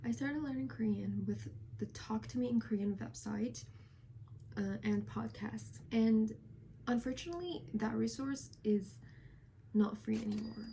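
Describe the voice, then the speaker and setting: A woman's voice: on the high side, unhurried, vocal fry, mellow, a little hiss or hum, poor audio. Chatty young woman with a light Persian accent. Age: 20s. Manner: chatty and rambling, self-deprecating asides. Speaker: vlogger. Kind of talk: casual chat to camera. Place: indoors at home at golden hour, with iced coffee.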